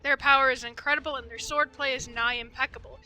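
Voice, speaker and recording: A woman live-streaming a game with casual, excitable, gushing commentary. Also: high voice, fast talker, low-quality recording. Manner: excitable, gushing fan talk. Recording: game music behind the voice. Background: video game music.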